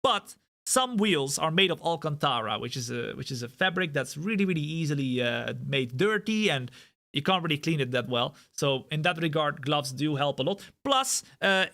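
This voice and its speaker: Male sim racing streamer, bright, forceful voice, high-energy hype and chatty tangents; live stream feel. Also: moderate pace. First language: Dutch. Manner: energetic, hype shout-outs, rambling asides. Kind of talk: live stream chat while gaming.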